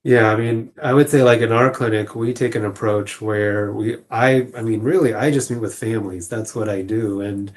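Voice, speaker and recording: A man speaking as a social worker guest in a panel conversation, thinking aloud in a halting, tentative way. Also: lowish voice, steady tone.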